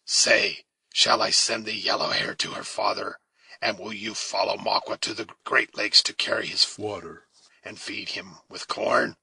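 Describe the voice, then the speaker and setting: A man voicing a fierce, taunting male warrior villain in a read-aloud story, slow and menacing. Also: low-pitched, expressive, gravelly, pushed and bright, husky, full character voice.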